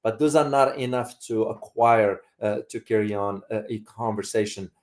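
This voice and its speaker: A man from Morocco hosting a casual live community discussion, speaking haltingly and often repeating his words. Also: fairly low pitch, a little mellow. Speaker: host.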